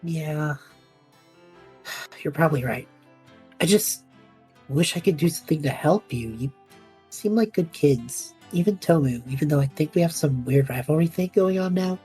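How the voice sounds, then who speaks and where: Actress as a restless young man in an audio drama, soft dark tone, rough-edged, earnest. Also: low-pitched, expressive, moderate pace, long pauses, faint music behind, heavily acted. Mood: wistful. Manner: casual, hesitant, earnest. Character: restless, idealistic young adult male traveler between worlds. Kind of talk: scripted audio drama acting.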